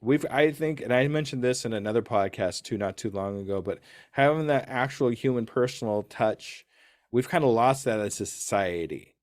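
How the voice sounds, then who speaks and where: Male podcast host, mid-pitched, informal and rambling, chatting with a guest in a dry, close recording. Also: animated, moderate pace, darkish tone, no echo. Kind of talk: casual conversational interview.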